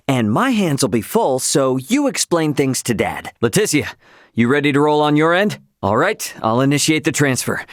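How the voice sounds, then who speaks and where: A man's voice: a little high, a bit bright, no room sound, studio quality. An actor playing a brash young male starship captain in a video game, with a casual, plainspoken delivery. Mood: easygoing.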